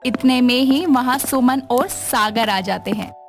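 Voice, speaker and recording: A woman's voice: on the high side, measured pace, soft background music. A woman narrator telling a scripted moral story in storytelling style.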